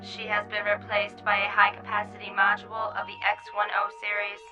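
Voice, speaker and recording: Woman as female computer announcer, radio drama, completely flat pitch, mechanical, clipped, impassive, music behind. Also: a little fast, natural voice, low-quality recording.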